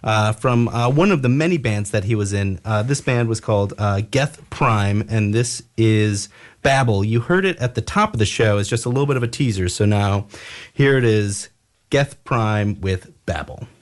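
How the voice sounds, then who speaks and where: A man hosting a radio show in a casual, informal way, polite and somewhat halting as he talks. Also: fairly low pitch, lively intonation.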